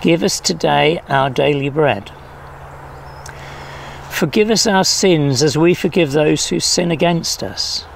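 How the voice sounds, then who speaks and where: An older man solemnly reading prayers at a church service in measured phrases, recorded outdoors. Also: on the high side, vocal fry. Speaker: prayer leader. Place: outdoors in a leafy field or garden. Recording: faint outdoor background noise.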